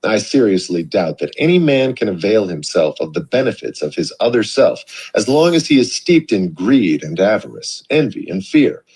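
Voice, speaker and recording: A man narrates an audiobook with a husky, slightly breathy voice. His reading is measured and earnest. His pitch moves with plenty of life from phrase to phrase. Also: sing-song, a little mellow.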